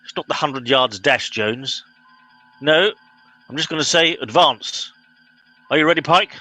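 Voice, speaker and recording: A man's voice: fairly high pitch, unhurried, pushed and bright, soft background music, low-quality recording. A man playing a pompous, impatient English spaceship captain in a comic radio play. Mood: self-important. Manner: pompous, officious, exasperated.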